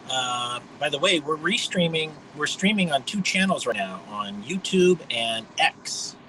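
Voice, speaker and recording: A man in his fifties with a high voice, hosting a rambling livestream monologue in a blunt, mocking way. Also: expressive, measured pace, sharp tone, slight background noise, low-quality recording. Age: 50s. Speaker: livestream host.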